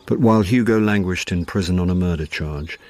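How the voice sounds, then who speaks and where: A man's voice: deep voice, fairly quick, darkish tone, natural voice. An actor playing a wry, exasperated middle-aged British man in a radio drama, with a dry, sardonic delivery. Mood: wry, weary. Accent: British English. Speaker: actor playing the lead and narrator. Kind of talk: radio drama dialogue and narration.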